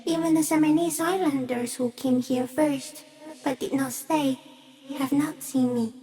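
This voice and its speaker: Eerie, high-voiced ancient stick insect. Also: female voice, fairly even intonation, unhurried, warm tone, soft background music. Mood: gentle, matter-of-fact. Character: ancient shy giant stick insect, gentle, awed, solemn. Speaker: video game creature voice. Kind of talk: slow poetic game monologue.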